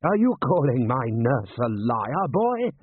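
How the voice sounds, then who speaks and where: A man's voice: mid-range voice, expressive, unhurried, breathy edge, dry recording, voice pushed up. A man voicing a pompous, blustering aristocratic army commander with haughty, repetitive speech in an audiobook. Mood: indignant, insistent. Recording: thin, band-limited, phone-like sound.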